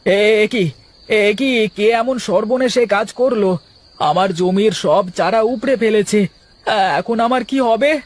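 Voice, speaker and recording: A man voicing a greedy, sly farmer in an animated moral story, excitable and full of exclamations. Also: sits high, unhurried. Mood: distraught. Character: greedy, sly male farmer who fools his neighbour. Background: background music.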